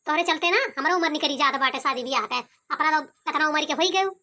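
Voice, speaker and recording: A woman's voice: sits high, fast, pushed and bright. A woman voicing a resentful, complaining young college girl in an Awadhi cartoon comedy, with an Awadhi accent. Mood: bitter complaining. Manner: complaining, scolding. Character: resentful, sharp-tongued young college-going younger daughter.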